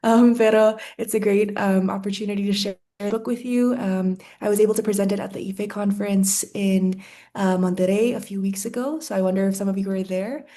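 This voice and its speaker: Woman, flat mellow voice, lots of ums, short panel remarks. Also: mid-range voice, moderate pace. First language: English. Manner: flat, with um fillers. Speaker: book co-author.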